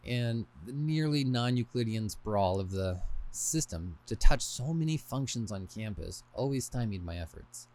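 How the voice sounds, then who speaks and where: A man's voice: medium pitch, a little mellow. A man hosting a podcast and reading aloud from a book he wrote, in a scripted style with wry, self-deprecating touches. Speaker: podcast host and author.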